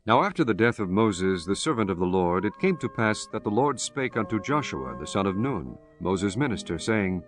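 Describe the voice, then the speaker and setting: A man's voice: on the low side, big pitch swings, normal speed, few pauses, faint music behind. A man giving solemn, formal scripted narration of scripture as the narrator.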